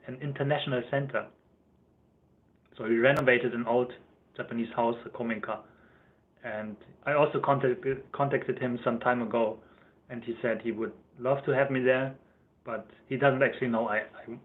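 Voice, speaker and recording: A young man with a German accent chatting casually on a livestream, rambling and correcting himself as he answers viewers' questions. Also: mid-range voice. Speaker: YouTuber. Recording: thin phone-like livestream audio.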